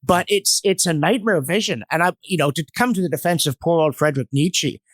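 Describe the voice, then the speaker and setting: A man's voice: fairly high pitch, fairly quick, a bit bright, no echo. A man speaking as a podcast guest in an intellectual discussion, with an erudite, emphatic, argumentative manner and strong opinions. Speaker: podcast guest, history commentator.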